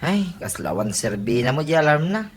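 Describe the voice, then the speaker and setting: A woman plays a complaining cousin in a comedy radio drama. The delivery has an Ilocano accent and very lively intonation. There is clear noise in the background. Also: low-pitched, moderate pace. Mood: exasperated.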